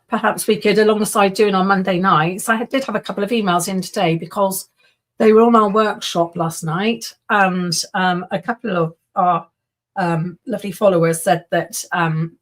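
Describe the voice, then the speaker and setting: A woman co-hosting a spiritual Q&A, hoarse tonight, agreeable and quick to affirm, reading out audience questions. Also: lowish voice. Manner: warm, agreeable, often laughs and affirms. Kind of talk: casual conversational Q&A.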